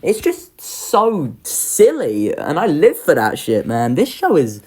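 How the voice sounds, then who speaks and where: Animated young reviewer, very varied pitch. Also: slow, husky. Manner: informal, swearing, enthusiastic. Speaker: video creator ranking children's pantomimes. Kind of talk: casual review ranking commentary.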